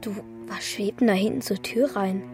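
A woman's voice: medium pitch, normal speed, darkish tone, close to an everyday voice. A woman playing an eager, earnest young boy in a radio play. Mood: sleepy wonder. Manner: eager, earnest, youthful slang. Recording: reverberant hall, music behind.

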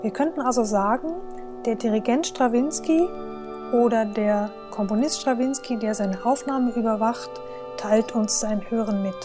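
Articulate woman, slightly high voice, explaining. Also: normal speed, loud music behind the voice. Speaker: expert commentator. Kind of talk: explanatory, lecture-like talk.

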